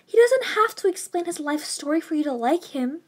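A woman as a high-voiced, sly teenage sister. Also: very animated, moderate pace. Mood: exasperated, defending. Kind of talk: audiobook character acting.

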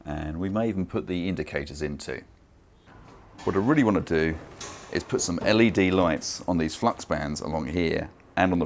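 A man in his forties casually walking through a build in his indoor workshop garage, explaining step by step with fillers. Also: extremely low pitch. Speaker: maker presenting his build.

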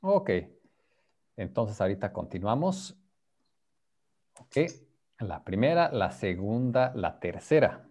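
A man's voice: on the low side, sing-song, slow pace, pauses often, darkish tone. A man teaching an online beginner Spanish class over a video call, patiently prompting students and mixing Spanish with English. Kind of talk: online group language class.

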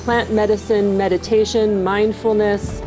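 A woman's voice: medium pitch, little pitch movement, measured pace, poor audio. A woman giving a promotional testimonial as a guest of a retreat. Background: prominent music.

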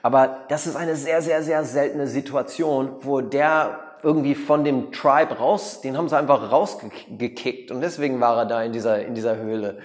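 A man with an Australian accent talks to camera in German, his second language, pausing on fillers and restarting sentences. His voice sits at an ordinary middle pitch. It is picked up by a lapel mic in a bright room that adds a long, hall-like reverb. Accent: English-speaking, Australian. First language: English. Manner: hesitant, many fillers and restarts, mixes in English words. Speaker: meditation teacher. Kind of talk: explanatory talk to camera. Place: bright room with plants, indoors.

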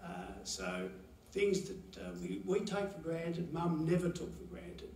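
A man gives a halting, pause-filled eulogy for his mother at a chapel lectern. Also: on the high side, big pitch swings, a little mellow, slight background noise. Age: 70s. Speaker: son giving a eulogy. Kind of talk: memorial eulogy. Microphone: lectern mic, heard from a far camera. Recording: roomy chapel echo from far off.

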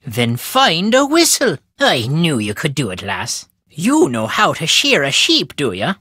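A gruff, wry old Irish countryman for a video game, stubborn and superstitious. His voice swoops with a lively storytelling lilt and has a bright, forceful edge, recorded close and dry. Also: on the high side, a little slow, no echo, full character voice. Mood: brisk, bossy. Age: older. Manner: folksy inverted phrasing, storytelling lilt. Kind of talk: video game character dialogue.

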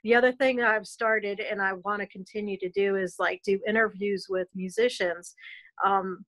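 A woman's voice: mid-range voice, fairly even intonation, normal speed, a bit bright, low-quality recording. A woman who runs a music school, explaining her approach on a video call panel in an earnest, explanatory way with some restarts. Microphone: video call laptop mic.

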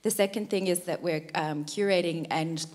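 Woman, middle-aged, panel intro, handheld mic, theater stage, low-ish voice. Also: moderate pace, darkish tone. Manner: chatty, self-deprecating, many um fillers. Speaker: panel host, association co-founder. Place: large dark theater stage. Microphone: handheld microphone.

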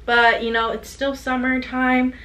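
A woman's voice: high-pitched, little pitch movement, a little slow, fairly bright tone. Chatty young woman in an echoey room. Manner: chatty, upbeat, showing items to camera. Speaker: reseller vlogger. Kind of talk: casual haul vlog. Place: small home room with clothing rack. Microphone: camera on desk at close range. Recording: echoey room, faint background hiss.

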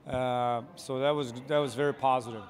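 A man in his forties, a hockey coach answering postgame questions quickly and plainly, with many fillers, into a handheld mic in an arena hallway. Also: mid-range voice, steady tone. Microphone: handheld reporter mic.

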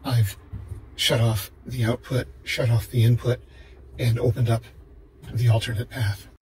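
An older man talks through his work in a steady, matter-of-fact voice, neither high nor low. He explains things plainly, like a patient how-to narrator. A light hiss sits under the recording. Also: measured pace, rough recording. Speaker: vlogger.

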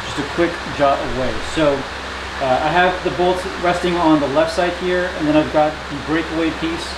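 A man with a slightly higher voice explains his motorcycle build in an easygoing, matter-of-fact way, often pausing on "uh". He is recording in a hot, echoey home garage, with a fan humming faintly behind him. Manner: relaxed, matter-of-fact, frequent "uh" pauses. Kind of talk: casual workshop narration. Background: fan noise.

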